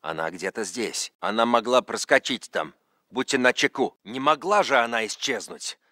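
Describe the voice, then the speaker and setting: Russian army grunt in a shooter, curt, tense, swingy pitch, close dry recording. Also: male voice, lively intonation, normal speed, bright, forceful tone, no room sound. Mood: terse. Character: tense, gruff Russian soldier, curt and menacing. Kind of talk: video game military voice lines.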